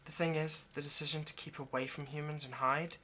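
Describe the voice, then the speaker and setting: A man voicing an ageless vampire lover in an intimate audio roleplay, possessive yet remorseful, speaking in a halting, earnest, pleading way. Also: fairly high pitch, steady tone, sharp tone, a little hiss or hum. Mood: guarded, explaining. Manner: halting, earnest, pleading, dropping to near-whisper.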